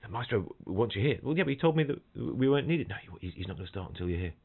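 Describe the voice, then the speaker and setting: A man's voice: fairly low pitch, sing-song, racing, creaky, slightly rough. A middle-aged man, a professional trombonist, chatting casually and telling anecdotes, often restarting sentences and mimicking people and rhythms. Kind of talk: casual podcast chat, anecdotes. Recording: thin phone-like audio.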